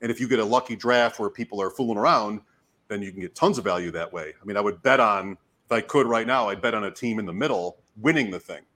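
A man, hoarse from talking, hosting a casual fantasy sports show and commentating in short, fragmented phrases as he thinks out loud. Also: fairly low pitch, quick pace. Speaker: fantasy football show host giving draft advice. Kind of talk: casual talk, live draft commentary.

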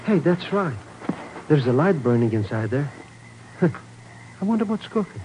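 High-voiced, jumpy comic sidekick. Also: male voice, big pitch swings, unhurried, mellow, low-quality recording. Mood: grumbling, curious. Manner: comic, exclamatory, easily alarmed. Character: jumpy, excitable male comic sidekick of a secret agent. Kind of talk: 1950s radio drama acting. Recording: hissy old radio broadcast.

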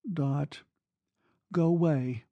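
A man's voice: high voice, a little slow, dry recording, muffled recording, pitched above the performer's own voice, heavily acted. A man voicing a young woman in love, clinging and desperate, with a raw, pleading manner in an audiobook story. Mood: cold, hurt. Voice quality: soft, breathy delivery.

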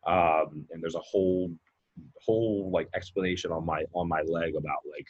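A man's voice: deep voice, fairly even intonation, normal speed, slightly rough, highs cut off. A man in his late twenties, a mindset coach and guest, talking casually in a rambling way with many fillers and repeated words. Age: 20s. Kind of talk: casual podcast conversation.

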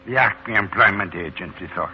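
An actor playing an unflappable, deferential manservant with formal, evasive politeness, in the style of 1940s radio drama. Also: male voice, low-pitched, normal speed, natural voice. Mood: blandly evasive. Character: unflappable, deferential manservant sent by an employment agency. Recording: muffled 1940s radio broadcast, faint noise.

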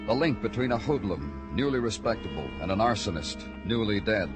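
A man plays a hard-boiled American detective in vintage noir radio drama. His low voice is clipped, brooding and weary, with prominent dramatic music behind it. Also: animated, fairly quick, close to an everyday voice. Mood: somber, urgent. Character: terse, world-weary male police detective narrating his case. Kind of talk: 1950s noir radio drama narration and dialogue.

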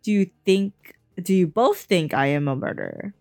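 A woman voicing an exasperated young man who protests loudly in a read-aloud novel. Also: fairly low pitch, lively intonation, normal speed, dry recording, clean studio sound, soft background music. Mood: indignant. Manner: loud, indignant.

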